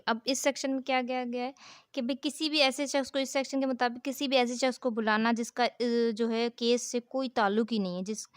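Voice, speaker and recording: A woman's voice: on the high side, clear, resonant tone, dry recording, studio quality. A woman lecturing in a didactic way, explaining and reading aloud as a teacher. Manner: didactic, poses questions and answers them herself. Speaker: law lecturer.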